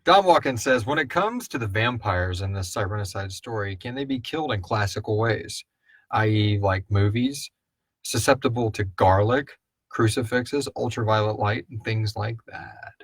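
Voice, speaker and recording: A man's voice: fairly low pitch, normal speed, husky, no room sound. A man hosting a casual live question-and-answer chat, talking in a rambling, irreverent way with lots of "like". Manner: rambling, frequent "like". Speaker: host and writer of a horror audio drama.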